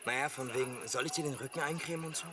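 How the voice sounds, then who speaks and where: Man playing a cocky, fast-talking teenage boy. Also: mid-range voice, a crowd in the background, natural voice. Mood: teasing, defensive. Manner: goading, brash. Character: cocky, teasing, hot-headed teenage boy. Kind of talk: German audio drama acting.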